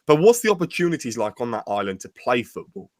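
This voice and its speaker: A man hosting an interview podcast, asking long rambling questions in a brisk way. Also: medium pitch, sing-song, dry recording, clean studio sound. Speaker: podcast host.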